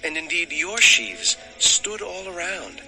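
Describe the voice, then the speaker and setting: An actor playing an earnest, naive young shepherd's son in a dramatized audio Bible. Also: male voice, a little high, vocal fry, heavily acted, low-quality recording. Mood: earnest wonder. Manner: slow, expressive, declaiming. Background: music.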